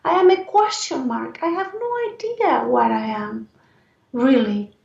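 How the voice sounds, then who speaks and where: High raspy woman, Argentine accent, roomy sound. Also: unhurried, darkish tone. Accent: Argentine Spanish. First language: Spanish. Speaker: interview guest, life and movement coach. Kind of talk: reflective interview talk. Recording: roomy echo, distant mic, dull sound.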